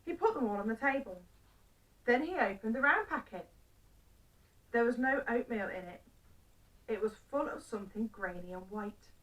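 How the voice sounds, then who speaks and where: A woman with a fairly high voice narrates a children's story. She uses simple phrases and deliberate pauses, recorded slightly away from the microphone. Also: expressive, a bit bright, recorded from a distance, rough recording.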